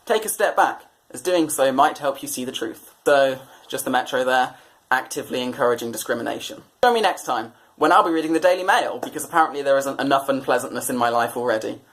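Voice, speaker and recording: A man's voice: mid-range voice, quick pace, a bit bright. A British man presenting satirical commentary on newspapers in a sardonic, mock-exasperated way. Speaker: comedy presenter.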